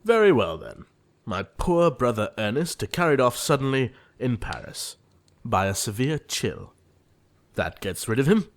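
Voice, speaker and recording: An actor playing an earnest, fussy young gentleman in a comedy audio play. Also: mid-range voice, big pitch swings, unhurried. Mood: brisk, dismissive. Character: earnest, easily exasperated young English gentleman, lovesick.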